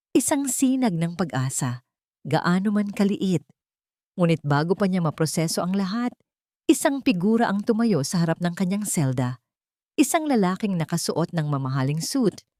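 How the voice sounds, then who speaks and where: Low-voiced woman narrating a dramatic story. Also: darkish tone, no room sound, clean studio sound. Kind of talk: scripted dramatic narration of a Tagalog love story.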